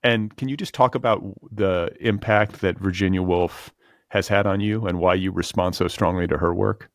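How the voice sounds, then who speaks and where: A man's voice: deep voice, steady tone, moderate pace, dry recording, clean studio sound. A man hosting a podcast, asking conversational questions in a literary interview.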